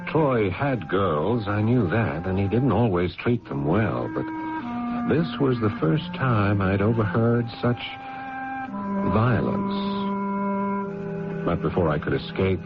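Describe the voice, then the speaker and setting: A restrained, dutiful elder brother telling his story in a radio play. His low voice is measured and polite yet moves expressively in pitch. Music is clearly heard behind it. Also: highs cut off. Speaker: narrator. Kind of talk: first-person radio drama narration.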